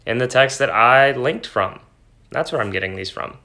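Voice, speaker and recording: A man's voice: mid-range voice, expressive, normal speed, bright, forceful tone. A man speaking as a teacher, in an informal, explanatory lesson style with dramatic read-aloud of a story. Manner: explanatory, breaks off reading to paraphrase in plain words.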